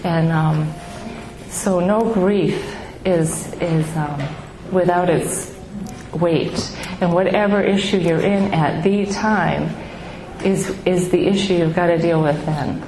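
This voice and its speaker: A middle-aged woman with a small, soft voice sharing her own story of grief with an audience, halting and heartfelt with many pauses. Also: lowish voice, steady tone. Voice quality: soft, small voice she calls baby-like. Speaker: speaker at a grief support gathering. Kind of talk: personal testimony to an audience. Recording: echoey room with background noise.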